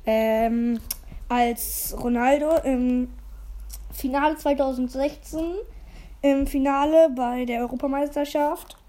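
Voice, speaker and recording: A hoarse woman chatting casually as a podcast co-host, rambling with lots of fillers. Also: high voice, steady tone, slow, dark tone. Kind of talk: casual chat.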